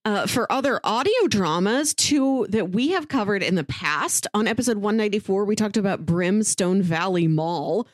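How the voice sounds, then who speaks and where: A woman's voice: medium pitch, animated, moderate pace, few pauses, no echo, studio quality. A woman co-hosting a podcast, giving recommendations in a chatty, informal way with casual asides. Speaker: podcast co-host.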